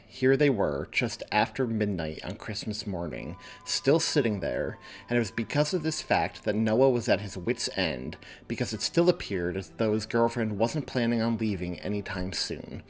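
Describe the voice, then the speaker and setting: A man hosting a podcast and reading his own story aloud, moving between casual talk and narration. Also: fairly low pitch. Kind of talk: casual podcast intro and audiobook-style narration.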